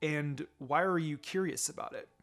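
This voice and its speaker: A man voicing a proud, gentle, doting ninja father in a story reading. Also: on the high side, normal speed, soft background music, natural voice. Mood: calm.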